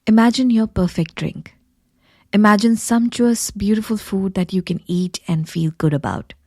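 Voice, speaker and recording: A woman's voice: medium pitch, normal speed, mellow, dry recording, clean studio sound. A woman hosting a podcast with an effusive, bantering manner, moving between scripted introduction and chatty interviewing. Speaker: podcast host. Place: closet.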